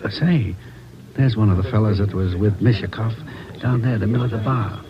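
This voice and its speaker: A man's voice: fairly low pitch, a little fast, close to an everyday voice. An American man playing a friendly, informal barkeeper who helps the police, in a 1940s radio crime drama. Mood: obliging. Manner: informal, obliging. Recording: muffled old radio, bar crowd behind.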